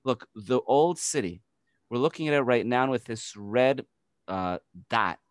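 Fast-talking American man on a headset. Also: mid-range voice, smooth, dry recording, clean studio sound. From United States. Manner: fast, energetic, repeats words when restarting. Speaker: tour guide. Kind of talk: virtual guided tour over video call. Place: home by an open window. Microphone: headset on video call.

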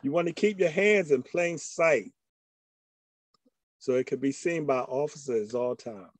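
A man's voice: medium pitch, measured pace, no room sound. An older man giving a slide presentation to a discussion group over a video call. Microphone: video call microphone.

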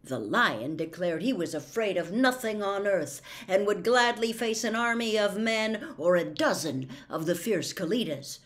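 A woman in her sixties reading a classic story aloud at home, soft and expressive, close to a webcam. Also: medium pitch, measured pace, hardly any pauses. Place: home room with bookshelves.

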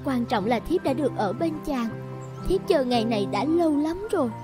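An actress playing a gentle, anxious, devoted village bride in a cartoon folk tale, pleading and hesitant. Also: female voice, high-pitched, expressive, brisk, over music. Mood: tender. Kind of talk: animated folk-tale dialogue.

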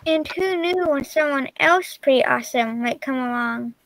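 A woman's voice: sits high, normal speed, no room sound, poor audio. A teenage girl reading a picture book and its character lines aloud over a video call.

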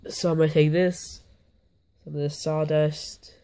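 Young male vlogger, hoarse and a bit sick, gravelly low-key voice, offhand and rambling, phone mic. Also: flat delivery, measured pace, warm tone. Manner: rambling, self-interrupting, offhand. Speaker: bug-keeping vlogger. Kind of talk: casual hands-on vlog. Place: indoors, on a carpeted floor.

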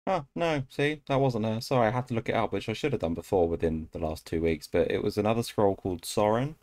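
A young man reacting to a TV episode on a headset mic in a small home room, excitable, swearing and calling out to the screen. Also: fairly low pitch, quick pace. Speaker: reaction video host. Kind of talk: casual reaction commentary.

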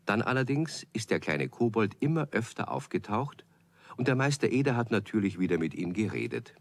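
A man's voice: fairly low pitch, big pitch swings, a little fast. A man narrating a scripted children's story, chatting directly to his young listeners.

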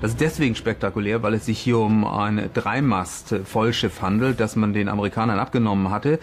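A man's voice: lowish voice, fairly quick, slight background noise. A man speaking off the cuff as an expert interviewee, explaining things. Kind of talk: unscripted explanatory interview talk.

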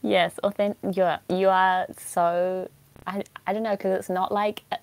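A woman's voice: mid-range voice, little pitch movement, unhurried, gravelly, a little mellow, clean tone, no room sound. A woman with a British-Kiwi accent, co-hosting a podcast in bubbly, self-conscious chat. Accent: British and New Zealand mix. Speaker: podcast co-host. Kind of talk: casual chat.

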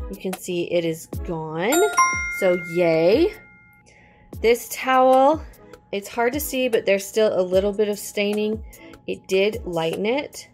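A woman hosting a cleaning vlog, talking casually and informally through a product test. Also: mid-range voice. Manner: informal, chatty. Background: music.

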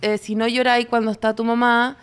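A woman's voice: on the high side, level tone, a little fast, clear, resonant tone. Chilean woman, 30s, podcast guest, wry, lecturing, studio mic. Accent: Chilean Spanish. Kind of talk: casual chat. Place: small home studio. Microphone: studio mic with headphones.

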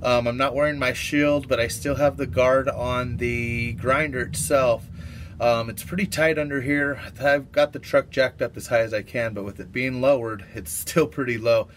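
A man in his thirties casually reviewing a power tool in his shop, relaxed and full of fillers. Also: medium pitch, normal speed, slight background noise. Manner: relaxed, filler-heavy, practical shop talk. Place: under a lowered truck, then inside an auto shop.

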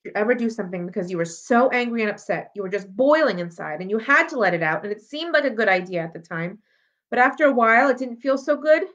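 A woman reading the part of a troubled, guarded young schoolgirl in a storybook, earnest and halting. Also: fairly high pitch, lively intonation, fairly bright tone. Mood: anxious, confessing. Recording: dull lo-fi audio.

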